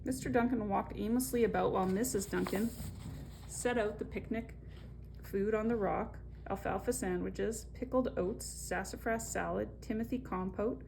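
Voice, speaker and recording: A woman hosting a children's story time, reading and chatting in an expressive, conversational way straight to young viewers. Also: mid-range voice, moderate pace, creaky, a little hiss or hum, poor audio. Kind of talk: picture-book read-aloud with chatty asides.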